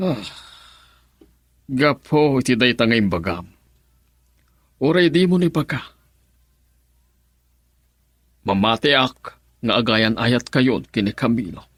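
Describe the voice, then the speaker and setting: A concerned older male relative in a radio drama. His mid-range voice is measured and probing, recorded dry with no room around it. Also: mid-range voice, animated, fairly bright tone, no echo. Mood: accepting. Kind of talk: radio drama acting in Ilocano.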